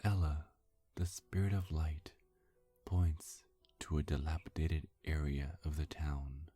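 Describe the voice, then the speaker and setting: ASMR narrator, hushed whisper, very deep register, slow deliberate phrasing, long pauses. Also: flat delivery, husky. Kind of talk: ASMR scripted story narration.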